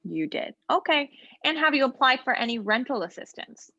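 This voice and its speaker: A woman trainer patiently walking a practice client through online software during a role-play interview. She explains each step and often pauses with ums and short asides. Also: sits high, big pitch swings, dry recording, dull audio. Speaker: legal aid trainer. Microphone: video call.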